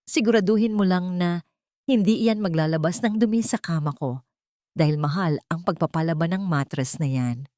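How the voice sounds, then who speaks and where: A woman's voice: fairly low pitch, moderate pace, mellow, dry recording, close to an everyday voice. A haughty, irritable woman, as a female storyteller acts her out in a melodramatic story. She orders people about in a curt, complaining manner. The recording is dry and close. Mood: dismissive.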